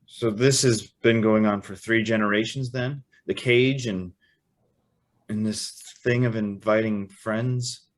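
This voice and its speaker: A man's voice: lowish voice, steady tone, slow pace. A man acting a bewildered, earnest young man who tells and lives his story in a radio drama, a little hesitant. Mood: incredulous. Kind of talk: old-time radio drama acting and first-person narration.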